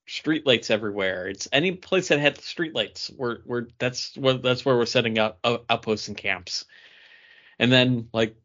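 A man's voice: mid-range voice, fairly bright tone. A man co-hosting a casual comic book review, talking emphatically in slangy, repetitive phrases. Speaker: co-host of a comics review show. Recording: dull lo-fi audio.

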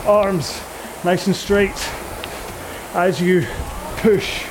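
A man's voice: high voice, very slow, noisy background. A man coaching a rowing workout in a casual, rambling, jokey and conversational way. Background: music.

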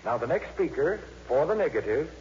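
An actor playing a courteous, formal gentleman in 1950s radio drama style. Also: a little high, very animated, a little fast, a little hiss or hum. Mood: formal, announcing. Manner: polite, formal. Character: courteous gentleman, formal school debate chairman. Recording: dull old radio broadcast, loud music.

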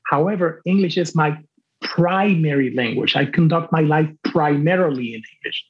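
A man whose first language is Spanish, a translator speaking as a podcast guest in a deliberate, reflective way that often restarts its phrases. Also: fairly high pitch. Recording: dull lo-fi remote audio.

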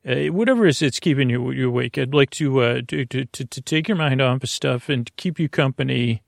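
A man with a creaky, gravelly voice hosting a bedtime podcast in a lulling, meandering, halting monologue full of stammers and tangents. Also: medium pitch, no echo, clean studio sound. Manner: soothing, lulling. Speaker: podcast host. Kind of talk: rambling bedtime monologue to lull listeners to sleep.

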